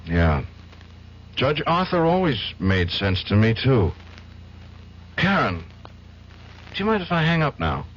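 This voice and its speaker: An actor playing a troubled young defense lawyer in a radio drama, hesitant and stammering, emotionally charged. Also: male voice, medium pitch, very animated, slow, long pauses, fairly bright tone. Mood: uneasy. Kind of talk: 1970s radio drama acting. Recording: dull old radio broadcast, faint hiss.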